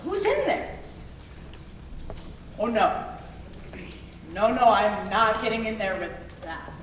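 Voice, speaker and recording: A woman's voice: medium pitch, very animated, slow pace. A noisy, distant live recording of an actress playing a tough young woman with a swooping delivery. She is indignant and combative, protesting as if wronged in a stage drama. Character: tough, defiant twenty-five-year-old tattooed woman arrested. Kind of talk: staged reading of a stage musical. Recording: roomy, distant mic, noisy live hall.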